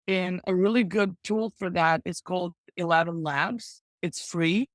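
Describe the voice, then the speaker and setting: A woman's voice: lowish voice, no room sound, clean studio sound. A woman guest on a podcast, giving practical e-commerce advice in a chatty, enthusiastic way, sprinkling in lots of "like". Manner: chatty, enthusiastic, full of "like" fillers. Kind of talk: casual advice-giving interview talk.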